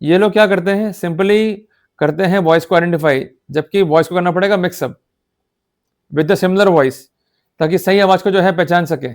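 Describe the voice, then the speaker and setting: Man, Indian accent, high-pitched voice, law lecturer, didactic, emphatic, explanatory delivery. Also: moderate pace. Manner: didactic, emphatic, checks understanding with repeated short questions. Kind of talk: explanatory lecture in Hindi mixed with English legal terms.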